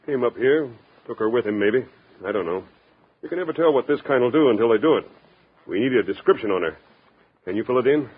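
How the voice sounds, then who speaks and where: An actor playing an offhand, businesslike police sergeant in a 1950s radio drama. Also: male voice, medium pitch, lively intonation, fast, long pauses, husky, narrow, tinny audio. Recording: thin, noisy old radio recording.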